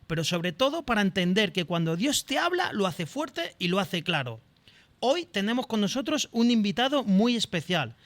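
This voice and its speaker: A man's voice: high-pitched, fairly bright tone. A man hosting a podcast, introducing and interviewing his guest. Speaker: podcast host.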